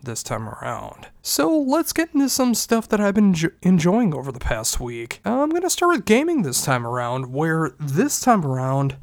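This is a male podcast host with a congested, stuffy nose. His voice sits a bit high for a man. He talks in a loose, rambling way with restarts, and the sound is close and dry with no room echo. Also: huge pitch swings, normal speed, gravelly, few pauses. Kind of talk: casual solo podcast talk.

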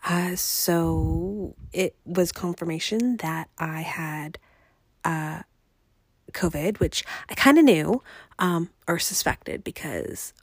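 A young woman podcast host chatting casually and ramblingly, her voice raspy and congested as she recovers from illness. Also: fairly low pitch, takes it slowly, dry recording. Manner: rambling, conversational. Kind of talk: casual personal podcast chat.

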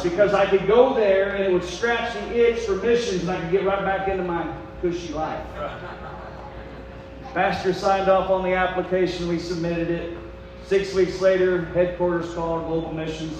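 A man's voice: sits high, fairly even intonation, moderate pace. An American man in his forties preaching a personal testimony, folksy and dramatic, telling stories and acting out remembered dialogue. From United States. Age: 40s. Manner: folksy, dramatic, acts out remembered dialogue, swings from quiet confiding to shouting. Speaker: preacher and missionary. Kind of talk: sermon testimony, storytelling to a congregation. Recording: echoey hall, distant, faint hum.

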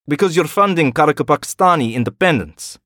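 A proud, prickly male colonel, as a storyteller voices him, lifting his voice above the narrator's to throw out sharp, scornful retorts. The sound is dry and close. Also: fairly high pitch, expressive, fast, no echo, voice pushed up.

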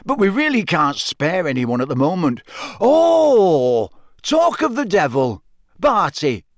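A boyishly enthusiastic wizard fellow from a storybook, acted by a man. He is breezy, comfortable and chummy, with a lively, rising and falling pitch. The recording is dry and close. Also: normal speed, no echo. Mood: breezy, bright. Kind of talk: audiobook character voice.

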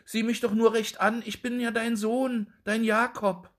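A man's voice: very high-pitched, flat delivery, measured pace, fairly bright tone, voice pushed up. A man doing a frightened young dwarf. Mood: pleading. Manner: pleading, anxious.

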